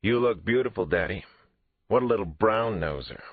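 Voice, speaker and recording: A man's voice: fairly low pitch, animated, moderate pace, slightly rough, no echo, voice pushed up. A man voicing a cocky young boy who teases his sister and pesters his father. The recording is dry and lo-fi. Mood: mocking. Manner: mocking, cocky. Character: young boy, teasing and sarcastic son. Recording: dry, lo-fi, phone-like.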